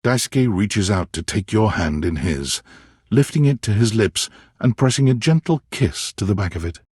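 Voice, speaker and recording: A man playing a wry, dramatic storyteller who narrates a romance video game in measured second person. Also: on the low side, lively intonation, darkish tone, breathy edge, no echo, studio quality.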